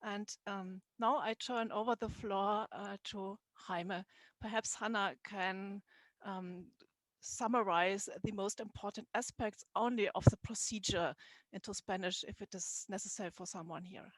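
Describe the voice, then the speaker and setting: A woman introducing a speaker at an online event and handing over the floor, slow with hesitations. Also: medium pitch, steady tone, no room sound. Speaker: event host.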